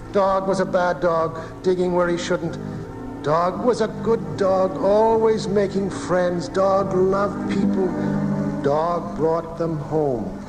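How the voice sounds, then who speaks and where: A man narrating a 1980s radio horror drama in a deliberate, dramatic way, recorded off the air. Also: on the high side. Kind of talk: slow, eerie horror storytelling for 1980s radio.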